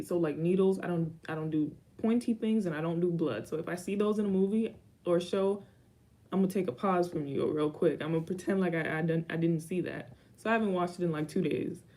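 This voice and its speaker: Low, mellow young woman chatting. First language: Haitian Creole. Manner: rambling, self-correcting, dry asides. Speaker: YouTuber. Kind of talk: casual Q&A vlog chat.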